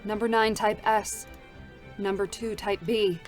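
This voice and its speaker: A battle-hardened female android deserter, played by a woman, her voice cold, flat and bitter. She sits at a middling pitch, and faint music plays softly beneath her words. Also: measured pace, close to an everyday voice. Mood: somber. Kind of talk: live concert reading of game scenes.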